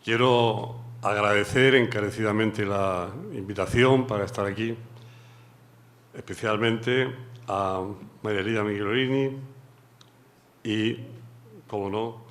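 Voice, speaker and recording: A Spanish man in middle age gives a formal lecture at a deliberate, slow pace. His delivery is courteous and measured, with frequent pauses as he builds each point. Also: fairly low pitch, a bit bright. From Spain. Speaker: guest lecturer, judge. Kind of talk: formal academic talk.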